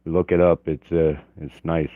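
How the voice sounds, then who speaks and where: Slow, hoarse man with a very low voice. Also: level tone, no echo, narrow, tinny audio. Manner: halting, many fillers. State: hoarse from a cold. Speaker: art channel host. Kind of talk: casual livestream chat. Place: home office. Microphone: external mic on camera.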